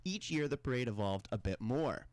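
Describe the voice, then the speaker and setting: A man's voice: mid-range voice, sing-song, normal speed, dry recording. A man presenting a music history segment on a podcast. He reads from prepared notes, chats casually and laughs at his own slips. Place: studio.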